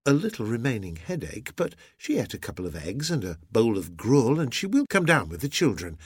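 A man voicing a gentle, affectionate sailor's wife in an audiobook, with a soft, genteel and fond manner. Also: medium pitch, big pitch swings, a little fast, husky, no room sound, studio quality. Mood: polite, composed.

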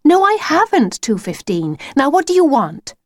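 A woman voicing a blunt, exasperated young schoolgirl in a children's story, brisk and impatient. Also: sits high, very animated, no echo, pitched above the performer's own voice. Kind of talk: children's storybook character reading.

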